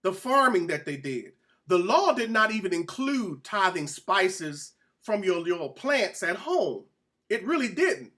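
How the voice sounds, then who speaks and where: A man's voice: sits high, very animated, measured pace, pushed and bright. A man giving an informal Bible-teaching sermon as a presenter, speaking emphatically, repeating phrases and putting questions to his listeners.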